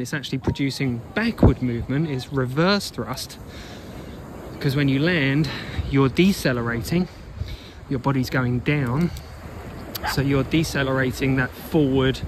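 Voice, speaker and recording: A man coaching runners in an off-the-cuff walking vlog, instructive and emphatic, repeating phrases as he explains, recorded outdoors on a phone. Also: mid-range voice. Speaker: running coach and blogger. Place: outdoors on an open sandy beach. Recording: noisy outdoor beach ambience.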